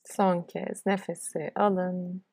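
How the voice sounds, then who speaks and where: Female yoga nidra guide, lower register, dry close sound, soothing and unhurried delivery. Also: flat delivery, creaky, a little mellow, breathy edge, dry recording. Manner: soothing, unhurried instruction with pauses. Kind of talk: guided relaxation meditation.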